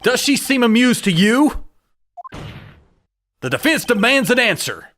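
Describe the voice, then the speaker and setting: A man's voice: very high voice, sing-song, measured pace, fairly bright tone, a little hiss or hum, full character voice. A man voicing an earnest young male defense lawyer, determined and pressing. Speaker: defense attorney. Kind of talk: fan dub of game dialogue. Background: game music.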